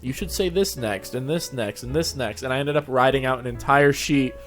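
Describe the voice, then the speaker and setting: A man's voice: mid-range voice, brisk, a bit bright. Chatty man over background music. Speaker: host of a fan fiction narration channel. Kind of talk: casual chat.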